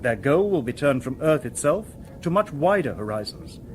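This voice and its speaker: Polite humanoid robot, radio drama. Logical, formal, precise, slightly high voice, light background noise. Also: male voice, sing-song. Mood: calm, explanatory. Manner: formal, precise, measured wording. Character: polite, logical humanoid male robot detective, calm and literal.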